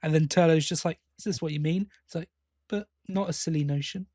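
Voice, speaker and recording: A man's voice: on the high side, a little fast, a little mellow, dry recording, studio quality. A man co-hosting a podcast in casual review chat, often hesitating and correcting himself as he goes. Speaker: podcast co-host.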